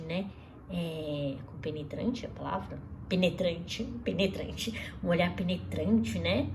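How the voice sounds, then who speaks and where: A woman teaching an art lesson to young pupils in a lively, chatty way, often asking them questions and restarting words. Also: medium pitch, a little hiss or hum. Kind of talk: recorded class lesson for children.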